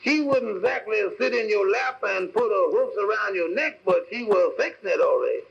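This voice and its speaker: High, creaky, folksy cab driver. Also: male voice, expressive, normal speed, full character voice. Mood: earnest, matter-of-fact. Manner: folksy, literal-minded, ungrammatical. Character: simple, earnest cab driver, former dairyman from Georgia. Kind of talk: 1940s radio comedy acting. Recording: muffled old 1940s radio recording.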